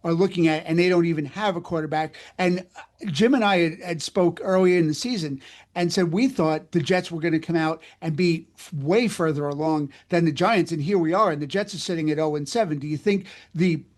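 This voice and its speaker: A man's voice: a little high, a little fast. A man co-hosting a conversational sports interview, asking long-winded questions. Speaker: interviewer, co-host.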